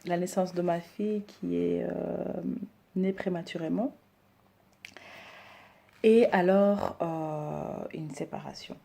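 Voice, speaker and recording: Thirties woman talking slow n halting, lots of ums, gravelly low voice. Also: mellow. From Rwanda, raised in Belgium. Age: 30s. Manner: hesitant, many pauses and fillers. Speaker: decor business owner introducing herself. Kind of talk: personal introduction to camera.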